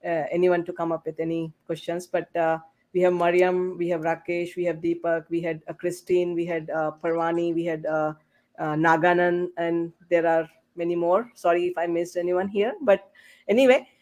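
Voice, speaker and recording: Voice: mid-range voice, level tone, normal speed, darkish tone. A host moderating a live online panel over a video call, speaking in an informal, chatty and somewhat hesitant way.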